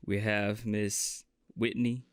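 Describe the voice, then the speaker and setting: A man's voice: fairly low pitch, level tone, slow pace, clean tone, dry recording, clean studio sound. A man hosting a casual podcast interview, laid-back and slangy. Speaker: podcast host. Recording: dull lo-fi audio.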